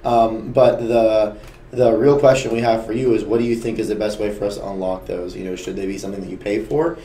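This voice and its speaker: A man with a gravelly, injured voice talks through questions candidly on a game developer stream, restarting his sentences now and then. His tone is dark and mellow. The room echoes, with faint noise behind him. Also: fairly low pitch, flat delivery, fairly quick. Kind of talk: casual dev stream chat.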